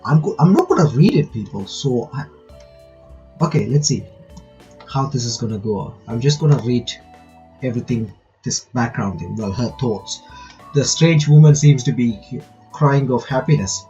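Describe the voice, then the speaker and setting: A man giving casual playthrough commentary and reading game text aloud, halting and often repeating his words. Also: medium pitch, expressive, warm tone, lo-fi sound, faint music behind. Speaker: gaming reaction host.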